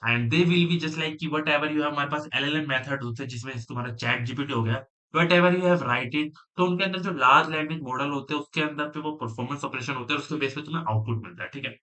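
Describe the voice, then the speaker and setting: A young man from Delhi with an Indian accent, a native Hindi speaker, chatting casually on a coding livestream and rambling quickly with many fillers. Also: on the high side, sharp tone. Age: young adult. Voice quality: rapid, rambling, filler-heavy talk. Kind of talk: live stream chat, mixing Hindi and English.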